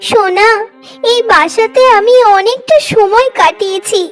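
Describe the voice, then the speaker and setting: A woman voicing expressive, fussy female birds in a children's cartoon. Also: very high-pitched, a little slow, soft background music. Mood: tender.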